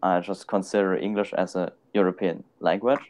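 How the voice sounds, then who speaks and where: Halting young man, low voice. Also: level tone, darkish tone. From Germany. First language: German. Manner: hesitant, halting, frequent ums and light laughter. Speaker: guest. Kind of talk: casual live chat.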